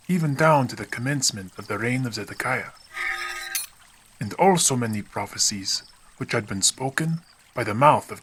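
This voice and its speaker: A man narrating scripture from a script in a solemn, measured, deliberate manner. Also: medium pitch, fairly bright tone, a little hiss or hum, poor audio.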